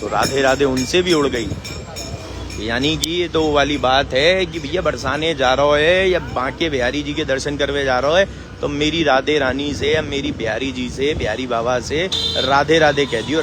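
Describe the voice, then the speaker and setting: A man's voice: mid-range voice, noisy background. A man with a sore throat, hosting a live walking devotional stream and earnestly urging viewers in a conversational way.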